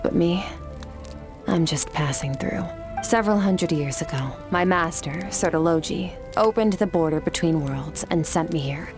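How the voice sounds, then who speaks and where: An aloof swordswoman master from another world in a video game. Her voice sits fairly low, cool and matter-of-fact, with reserved delivery over faint music. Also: a little slow. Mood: resolute, detached. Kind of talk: video game character acting.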